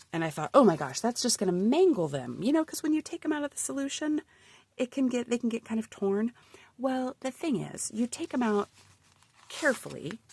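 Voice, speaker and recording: A woman's voice: on the low side, a little mellow, husky. A woman with a cold, chatting casually as she flips through her handmade journal, bubbly and often dropping to a murmur. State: sniffly from a cold. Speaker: crafter showing a handmade journal.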